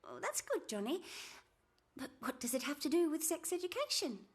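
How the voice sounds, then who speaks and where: A woman's voice: high voice, sing-song, moderate pace. A woman voicing a prim, encouraging schoolteacher addressing a class in a cartoon. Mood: patient. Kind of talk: classroom lesson acting.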